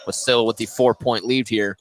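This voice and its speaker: A man's voice: mid-range voice, steady tone, normal speed. A man doing live play-by-play radio commentary of a basketball game from inside a gymnasium. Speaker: play-by-play sports announcer. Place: indoor gymnasium during a game. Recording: noisy gym broadcast, bouncing ball. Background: game noise, basketball bouncing.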